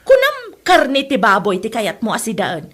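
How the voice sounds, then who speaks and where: High, husky nagging Ilocano-accented wife. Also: female voice, wildly swooping pitch, normal speed, fairly bright tone, heavily acted. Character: nagging, suspicious wife in comedy. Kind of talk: Ilocano radio comedy drama acting.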